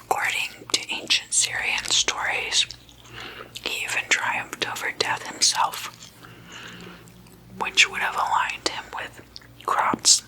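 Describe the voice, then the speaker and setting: A woman hosting ASMR, speaking informally in a soft, breathy, unvoiced whisper with a deliberate, gently playful manner. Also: low-quality recording. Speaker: ASMR video host.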